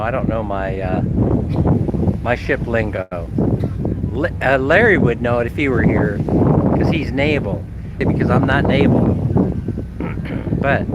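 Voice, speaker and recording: A man with a slight head cold, sounding a little nasal, chatting casually and self-mockingly to his live-stream viewers from a phone on a ship's deck. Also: mid-range voice. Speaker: vlogger hosting a live stream. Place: outdoors on a ferry deck. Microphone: phone held at arm's length. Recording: loud wind and boat noise. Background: wind and ship engine.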